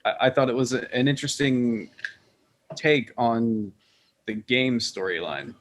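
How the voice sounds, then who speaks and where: A man's voice: mid-range voice, animated, a little slow. Rambling, opinionated man chatting. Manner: rambling, opinionated, swears casually. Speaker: podcast panelist. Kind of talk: casual group chat.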